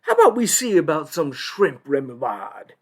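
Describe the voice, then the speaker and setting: A man's voice: on the high side, wildly swooping pitch, normal speed, husky, full character voice. A man voicing a pompous, clubby good-old-boy judge, in a live-told story. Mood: jovial, clubby. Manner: drawling, incredulous, clubby.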